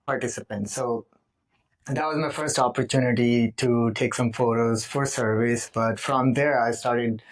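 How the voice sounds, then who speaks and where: A man who speaks English as a second language, telling his life story slowly on a podcast with many pauses. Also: mid-range voice, steady tone, poor audio. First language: not English. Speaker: podcast guest. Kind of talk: casual personal storytelling. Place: home studio, indoors.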